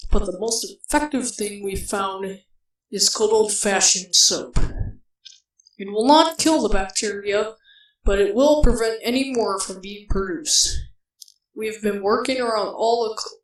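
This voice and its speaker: A man playing a scientist official giving a formal, slightly halting emergency briefing. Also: sits high, a bit bright. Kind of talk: press conference statement. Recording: echoey, distant press-room style sound.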